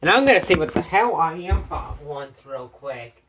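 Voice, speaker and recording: A woman's voice: sits low, very animated, moderate pace, noisy background. A woman giving a casual, sweary show-and-tell as host of a collection video, blunt and easily irritated.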